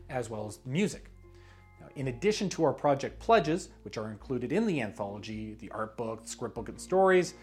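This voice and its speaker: Man, mid-range pitch, lively intonation, scripted promotional narration, storyteller-like emphasis, faint music underneath. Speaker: creative director presenting a crowdfunding pitch.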